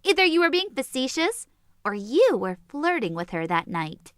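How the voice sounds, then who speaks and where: Sharp, very high, close and dry: small teenage sorceress with a short fuse, sarcastic anime comedy teasing played by a woman. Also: sing-song, moderate pace, smooth, no echo. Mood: sly, teasing. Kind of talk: comedic anime fan audio drama acting.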